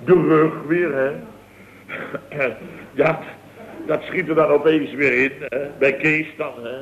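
An actor playing a blustering, easily flustered building-firm boss who rambles emphatically, in late 1960s radio comedy style. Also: male voice, on the high side, fairly even intonation, slow pace, gravelly. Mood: storytelling. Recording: dull, noisy vintage radio recording.

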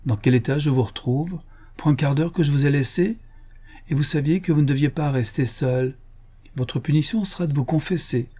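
Guy doing a sweet older mom scolding the kids, higher voice, mellow. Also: moderate pace, breathy edge. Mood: fond scolding. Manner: gently scolding. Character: indulgent, affectionate grey-haired mother. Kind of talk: audiobook character reading.

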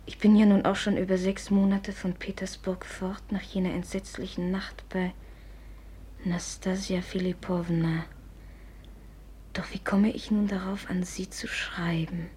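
A woman's voice: medium pitch, little pitch movement, measured pace, warm tone, a little hiss or hum, close to an everyday voice. A woman playing a proud, suspicious young society lady in a German radio drama, with a theatrical, imperious manner. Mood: hushed, moved. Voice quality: breathy.

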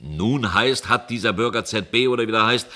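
Irascible boss, sharp bright voice. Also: male voice, fairly low pitch, brisk. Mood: grim suspicion. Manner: impatient, commanding, grumbling. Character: irascible, suspicious male boss of a dystopian control center. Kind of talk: German science-fiction radio drama acting.

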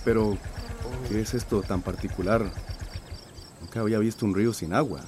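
A man's voice: fairly low pitch, steady tone, normal speed, a little mellow, slight background noise, natural voice. A man playing a polite, hesitant newcomer to a village in a radio drama. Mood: puzzled, polite. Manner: courteous, hesitant.

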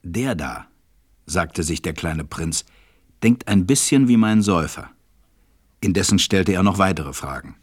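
A man narrating a storybook radio play with a husky voice and a measured, reflective manner. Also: low-pitched, expressive, no room sound, clean studio sound.

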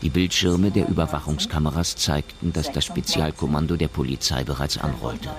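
An actor narrating a science fiction radio drama as a sober storyteller. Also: male voice, a bass voice, fairly even intonation, a little fast. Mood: sober, measured.

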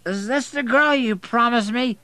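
A high-pitched slurring drunk man. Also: a little slow, bright, forceful tone, no echo, heavily acted. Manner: drawling, drunken, emotional.

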